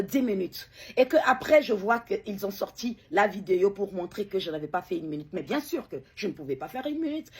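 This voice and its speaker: A middle-aged woman speaks with a husky, somewhat breathy voice that sits a little high. She talks haltingly, pausing often and repeating words as she gives an emotional personal account as a bereaved mother. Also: darkish tone. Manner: hesitant, many pauses and repeated words.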